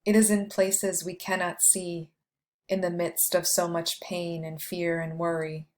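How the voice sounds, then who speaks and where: A woman guiding a meditation with scripted, poetic narration, delivered in a measured way with long pauses. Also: lowish voice, level tone, darkish tone. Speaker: meditation guide.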